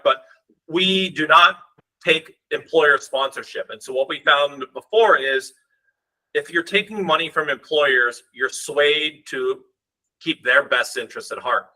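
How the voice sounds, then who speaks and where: A middle-aged man talks through a job-search service on a video call from his home, his voice sharp and forward. He keeps it conversational and explanatory, with easy restarts as he goes. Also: medium pitch, poor audio. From Ohio, US. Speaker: co-founder presenting a product webinar. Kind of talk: casual webinar presentation. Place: home room indoors. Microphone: video call webcam.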